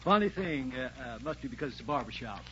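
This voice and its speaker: Casting: chatty small-town barber, bright and animated, rambling droll afterthoughts, 1940s radio sitcom on a dull recording. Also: male voice, medium pitch, fast. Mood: droll, chuckling. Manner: rambling, repeats himself, tags jokes with "though". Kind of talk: 1940s radio comedy acting. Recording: muffled, faintly noisy 1940s radio recording.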